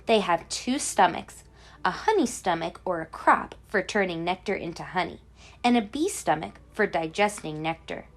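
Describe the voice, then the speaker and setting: A woman reads a picture book for young children in a very animated voice that swoops up and down. She takes her time, explaining each point with clear emphasis like a kindergarten teacher. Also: fairly low pitch. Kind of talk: expressive children's read-aloud storytelling.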